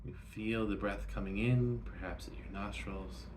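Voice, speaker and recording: A man with a fairly low voice guides a meditation. He gives gentle instructions in short phrases, leaving frequent pauses between them. Some ambient noise sits behind his voice. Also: fairly even intonation.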